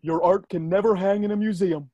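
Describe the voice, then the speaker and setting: A performer voicing a dim-witted, goofy male cartoon starfish sidekick with a husky, exclamatory delivery at a table read. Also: medium pitch, fairly even intonation, fairly quick, mellow, no room sound, lo-fi sound, lightly acted. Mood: dopey contentment.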